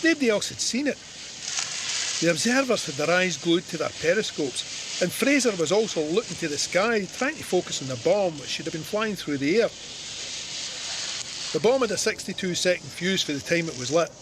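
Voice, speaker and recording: A Scottish soldier telling his war story in a radio drama. His voice sits a little high for a man, measured and plain-spoken, with some noise behind him. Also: animated. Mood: tense. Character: soldier Sandy recounting his Gallipoli service, plain-spoken and vivid. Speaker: narrator. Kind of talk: scripted first-person war memoir narration.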